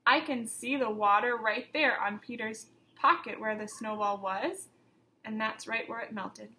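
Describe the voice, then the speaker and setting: A woman teacher reading a picture book aloud to young children, with expressive emphasis and simple questions put to the listeners. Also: fairly high pitch, unhurried, roomy sound, slight background noise, poor audio. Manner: simple instructive phrasing, questions addressed to children.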